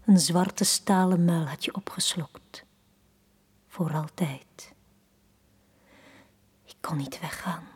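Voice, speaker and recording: Breathy, forlorn lovesick woman. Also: on the low side, exaggerated voice. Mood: sorrowful. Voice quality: breathy, whispery. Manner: slow, hushed, poetic delivery. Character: Tanja, forlorn, lovesick woman left on the quay. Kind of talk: 1980s radio drama, intimate monologue.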